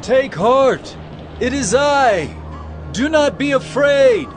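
A man's voice: extremely high pitch, animated, takes it slowly, music in the background, full character voice. A man playing a commanding, reassuring religious teacher in an audio Bible drama, earnest and authoritative. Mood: urgent, reassuring.

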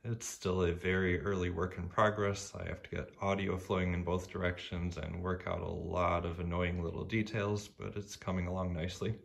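Low gravelly man explaining a gadget. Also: little pitch movement, normal speed, few pauses, a little hiss or hum. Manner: plain, matter-of-fact explaining. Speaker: hobbyist maker showing his project. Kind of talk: casual tech demo narration. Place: indoors at home, over a wooden table.

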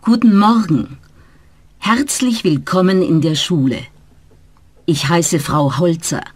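A woman voicing a friendly German language teacher in a scripted learner dialogue, speaking clearly and carefully. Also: fairly low pitch, slow pace, a little mellow, no room sound. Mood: welcoming.

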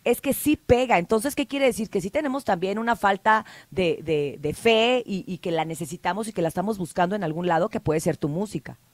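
A woman's voice: mid-range voice, fairly quick, hardly any pauses, dry recording. A woman hosting a radio show, chatty and reflective in a studio. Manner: chatty, reflective, laughs often. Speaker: radio host. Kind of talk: casual radio talk.

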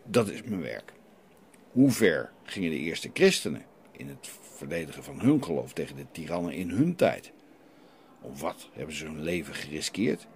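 A man's voice: rumbling low, very animated, moderate pace, darkish tone, heavily acted. A man playing a stern, defiant renegade priest in a radio play, challenging and preachy as he questions. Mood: reproachful. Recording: light background noise.

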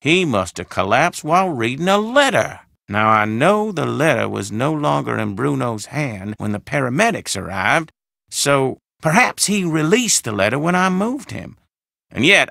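An actor playing a pompous older doctor in a video game, speaking in a formal, deliberate, theatrical way. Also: male voice, a little high, sing-song, creaky, bright, forceful tone, no echo, studio quality, exaggerated voice. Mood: recollecting. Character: pompous, gloomy old Southern doctor, the dead man's friend.

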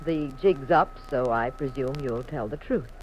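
Arch detective's wife on noisy old radio. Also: female voice, a bass voice, unhurried, darkish tone. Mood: curious. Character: detective's wife, curious, jealous, drily affectionate. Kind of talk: 1950s radio mystery acting. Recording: noisy vintage radio recording.

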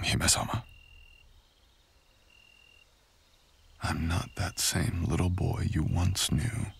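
A man playing a menacing, bitter, seductive samurai in an intimate ASMR roleplay, husky and breathy, often dropping into a whisper, with a taunting manner. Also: deep voice, fairly bright tone, full character voice. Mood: guarded, cold.

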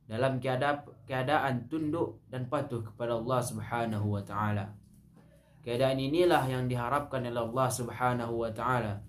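A young man in his late teens from West Sumatra delivering a formal Friday sermon in a measured, solemn way, chanting the verses he recites. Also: mid-range voice. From West Sumatra, Indonesia. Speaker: student sermon contestant.

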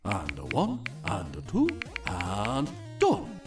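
A man's voice: low voice, huge pitch swings, slow, over music, exaggerated voice. A man playing a kind-hearted, anxious seaman in an audio drama. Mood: brisk.

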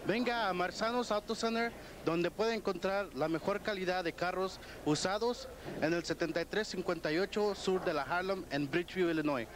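A man gives a salesman's short advertising pitch. His voice is high and rapid, with faint noise behind it. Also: rough recording. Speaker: car salesman. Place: indoor bowling alley. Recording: faint background noise on videotape.

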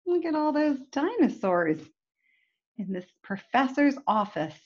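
A woman's voice: high voice, sing-song, a little slow, lo-fi sound. A middle-aged woman reading a picture book aloud expressively to young children, with little asides to them. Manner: addresses young listeners with questions and asides. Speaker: storytime reader. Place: indoors, home room with bookshelves.

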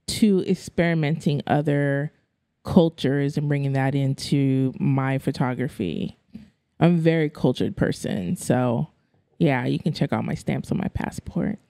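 A woman in her thirties, raised in the Philippines with Tagalog as her first language, talks frankly as a podcast guest. Her voice is dark and gravelly with fry, recorded close and dry on a studio mic. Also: sits low, no room sound. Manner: direct, matter-of-fact, quick laughs. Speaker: guest photographer. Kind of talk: casual interview chat. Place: bright studio room. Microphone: studio mic on boom arm, headphones.

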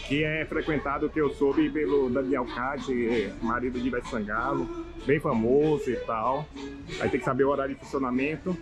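A man's voice: a little high, poor audio. Upbeat Bahian man touring by foot. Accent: Bahian Brazilian Portuguese. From Salvador, Bahia, Brazil. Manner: chatty, upbeat, points things out as he walks. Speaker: travel vlogger. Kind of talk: casual walking-tour vlog. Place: outdoors on a seaside hillside street.

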